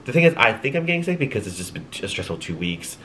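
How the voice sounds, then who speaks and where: A man's voice: on the low side, very animated, rapid-fire, a bit bright, a little hiss or hum. A young man chatting casually to his camera at home at night, rambling with lots of ums, sounding congested and tired. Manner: rambling, chatty, with light laughs and many ums. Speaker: vlogger. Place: small home room at night.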